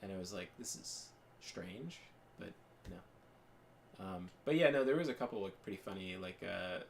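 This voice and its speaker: A man's voice: deep voice, expressive, moderate pace, vocal fry, a little hiss or hum. A young man chatting casually as a podcast co-host, rambling with lots of fillers and restarts. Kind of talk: casual chat while gaming.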